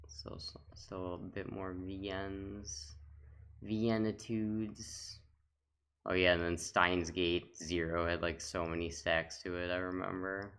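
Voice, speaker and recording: A man's voice: sits low, level tone, takes it slowly, fairly bright tone, a little hiss or hum. A man giving casual, off-the-cuff commentary as a gaming video host, talking in a rambling, reminiscing way.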